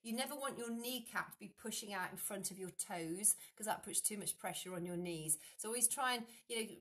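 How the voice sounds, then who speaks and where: Woman, middle-aged, fitness coach, casual to-camera chat, lively hand gestures, fillers and restarts, phone at arm's length, home room. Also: mid-range voice, a little fast. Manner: animated, gestures a lot with hands, many fillers and restarts. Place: dim room at home, indoors.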